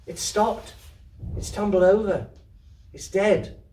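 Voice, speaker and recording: A man's voice: high-pitched, slow pace, mellow, slight background noise, voice pushed up. A man voicing a cocky, gleeful young boy in a story reading, exclaiming and repeating himself eagerly. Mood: excited. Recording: roomy echo, distant microphone.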